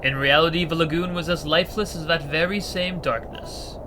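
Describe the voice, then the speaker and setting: A man narrating a visual novel story in a steady, expressive reading. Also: on the high side, moderate pace, background noise. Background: faint music.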